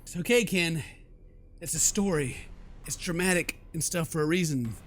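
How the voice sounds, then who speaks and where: A man doing excitable, jokey let's play game commentary, exclaiming and mimicking the characters. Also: fairly high pitch, normal speed. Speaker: gaming YouTuber, let's play host.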